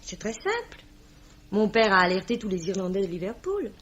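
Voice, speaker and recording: A woman's voice: medium pitch, big pitch swings, moderate pace, a bit bright, husky. An actress playing a cool-headed young Irish woman, wry and composed, in 1950s French radio drama style. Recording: dull, band-limited old radio recording.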